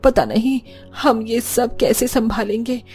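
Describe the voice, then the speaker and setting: Woman as older mother-in-law, cartoon drama, high voice, soothing, devout, protective. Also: steady tone, a little slow, a little mellow, husky, soft background music. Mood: worried, reassuring. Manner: soothing, earnest.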